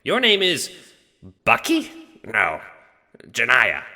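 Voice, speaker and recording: A man voicing a huge skeletal Death in an audio drama, gruff and growling, bored, blunt and crude. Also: medium pitch, huge pitch swings, slow, bright, forceful tone, long reverb tail, pitched above the performer's own voice, exaggerated voice. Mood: distasteful, erratic. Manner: blunt, dismissive, swearing. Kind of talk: audio drama character acting.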